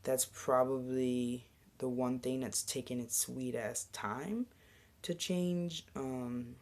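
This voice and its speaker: A young man talking casually to his camera in a video diary, relaxed and rambling with lots of ums and the odd whispered aside. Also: mid-range voice, slow pace. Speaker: vlogger.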